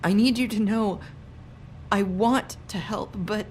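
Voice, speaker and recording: A woman's voice: medium pitch, takes it slowly, darkish tone, faint music behind, lightly acted. An actress playing a guarded, sorrowful young woman in a video game, speaking hesitantly and evasively. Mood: sorrowful, pleading. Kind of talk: video game dialogue acting.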